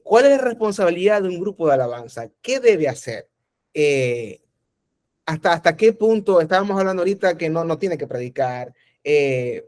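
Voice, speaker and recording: A man hosts an interview and asks thoughtful, probing questions. His voice sits fairly high for a man. He speaks in a relaxed, conversational way. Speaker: host.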